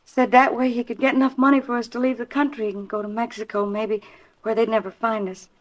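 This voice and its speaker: An actress playing an anxious, pleading wife in a 1950s radio drama, speaking hesitantly and earnestly. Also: female voice, a little high, flat delivery, fairly quick, close to an everyday voice. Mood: anxious, insistent. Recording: dull vintage 1950s radio recording.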